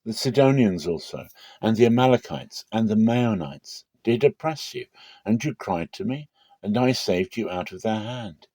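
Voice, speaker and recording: A man's voice: on the low side, lively intonation, moderate pace, warm tone, no room sound. A man voicing God as a stern, reproachful deity giving a solemn rebuke in a scripture reading.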